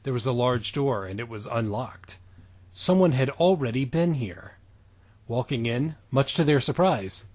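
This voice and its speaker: Husky, animated man reading theatrically. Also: mid-range voice. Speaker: podcast host. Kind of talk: mock-dramatic reading of fan fiction.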